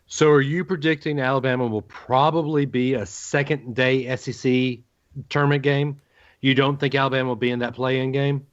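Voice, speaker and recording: A man's voice: medium pitch, fairly even intonation, moderate pace. A man hosting a sports talk show, putting conversational questions to his co-hosts. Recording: dull, narrow-band lo-fi sound.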